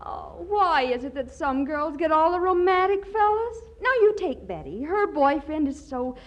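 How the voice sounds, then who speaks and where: A woman's voice: high voice, very animated, normal speed, highs cut off. An actress playing a brash, man-crazy young woman in 1940s radio comedy, melodramatic and gushing. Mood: swooning. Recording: hissy 1940s radio recording.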